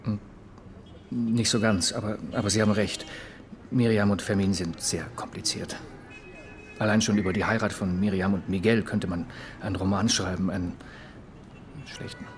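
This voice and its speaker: A man playing a jilted lover under questioning in a radio crime play. His voice is fairly low, and he speaks haltingly and reflectively, with bitterness underneath. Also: steady tone, slight background noise, lightly acted. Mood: wistful, bitter. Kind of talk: German radio crime drama acting.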